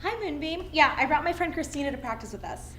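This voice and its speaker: A woman's voice: high-pitched, lively intonation, fast talker, fairly bright tone. A woman playing an eager, teasing yoga devotee in a comedy sketch, chatty, blunt and breezy, recorded in an echoing room. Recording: roomy echo, faint ambient noise.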